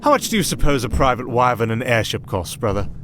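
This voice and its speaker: A man's voice: mid-range voice, lively intonation, fast, noisy background, lightly acted. A man playing a cocky, pleasure-loving brother in a fantasy audio drama, brash and teasing. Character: cocky, carefree younger vampire brother, pleasure-loving gambler. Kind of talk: scripted fantasy audio roleplay.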